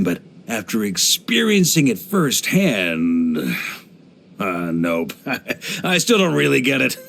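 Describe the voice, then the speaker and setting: An actor playing a genial, laid-back middle-aged knight commander in a video game, with a theatrical, easygoing manner. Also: male voice, fairly low pitch, a bit bright, a little hiss or hum, exaggerated voice. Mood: reflective, wry. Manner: jovial, laid-back, theatrical, given to chuckles. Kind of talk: English video game character voice lines.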